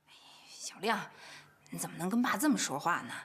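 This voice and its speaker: A woman's voice: on the low side, lively intonation, a little fast, a bit bright, husky. An actress playing a brash, stubborn older sister in her late thirties in a TV family drama, combative and indignant. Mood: defensive. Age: late 30s. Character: brash, stubborn, scheming older sister, quick to take offence. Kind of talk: naturalistic TV drama acting. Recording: faint background music.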